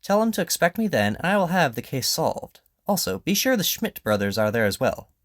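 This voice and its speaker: A confident, incisive detective acted by a man from an audio drama. He speaks in a raised, very animated voice with a commanding, probing edge. The delivery is theatrical and declarative, recorded close and dry. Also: fairly high pitch, a little fast, dry recording, voice pushed up. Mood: brisk, decisive.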